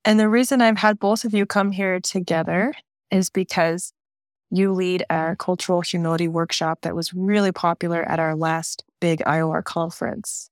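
A woman's voice: on the low side, steady tone, moderate pace, no room sound, clean studio sound. A woman hosting a podcast, introducing her guests in a friendly, conversational way. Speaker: podcast host.

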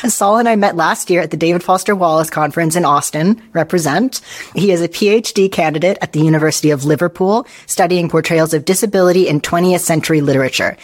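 A podcast host giving a scripted introduction and then chatting in a friendly, upbeat way. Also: mid-range voice. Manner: bright, friendly, quick to laugh. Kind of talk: podcast hosting and chat.

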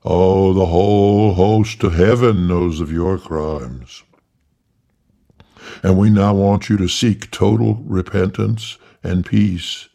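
A man voicing a trickster who poses as an archangel, thundering slowly and grandly. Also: deep voice, vocal fry, mellow, exaggerated voice.

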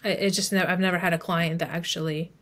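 A woman's voice: lowish voice, steady tone, fast talker, a bit bright. A woman speaking as an expert guest in a podcast interview, explaining advice conversationally. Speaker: email marketing expert guest.

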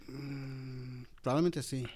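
A man's voice: medium pitch, level tone, slow, darkish tone, breathy edge. A man co-hosting a casual podcast chat, easygoing and agreeable, often chiming in. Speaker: podcast co-host.

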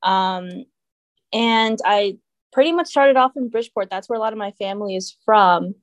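A woman's voice: medium pitch, moderate pace, no room sound. A young woman from Connecticut, talking as a podcast guest in a chatty way, full of fillers and hedges. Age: young adult. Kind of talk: casual podcast interview.

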